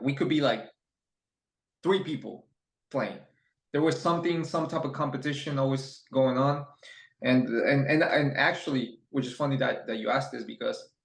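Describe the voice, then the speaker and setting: Gravelly-voiced man from Ecuador, echoey room. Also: medium pitch. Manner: relaxed, rambling, many fillers and restarts. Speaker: guest. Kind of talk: casual interview chat.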